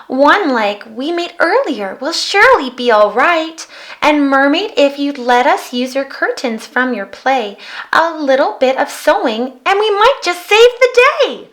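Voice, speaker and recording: Woman playing a high-voiced, earnest little girl. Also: very animated, a little slow, a bit bright, exaggerated voice. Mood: confident, helpful. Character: kind, resourceful, eager little girl. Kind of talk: children's storybook read-aloud.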